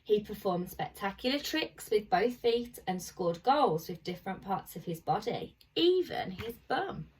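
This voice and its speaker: A woman's voice: mid-range voice, very animated, a little slow, few pauses, a little mellow, breathy edge, recorded from a distance, low-quality recording. A woman primary school teacher reading aloud to children in an informal, chatty way.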